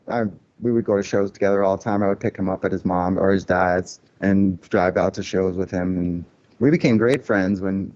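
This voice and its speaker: A man with a low voice is guest on a casual podcast, talking frankly and reflectively about his label years. He circles back on himself, with plenty of restarts. The audio sounds muffled. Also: a little mellow. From Cuban family, grew up in a loud-talking city. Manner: candid, reflective, many restarts and "like" fillers. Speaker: record label founder.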